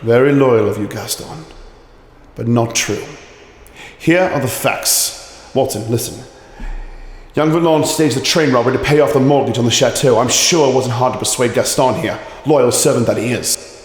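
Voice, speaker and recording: An actor playing a calm, commanding male detective who lays out his reasoning in a radio drama. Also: mid-range voice, roomy sound, long reverb tail, close to an everyday voice. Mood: explaining. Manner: measured, explaining.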